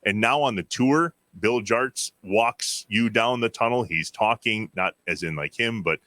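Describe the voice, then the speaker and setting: A man's voice: fairly low pitch, unhurried, sharp tone, no room sound. An American man co-hosting a casual sports talk show, telling stories with enthusiasm and emphasis.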